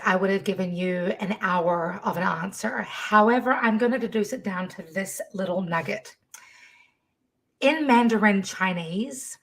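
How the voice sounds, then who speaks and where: A woman from New Zealand with a New Zealand accent, a musician chatting as a podcast guest in an animated, laughing way. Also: medium pitch, low-quality recording. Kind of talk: casual interview chat.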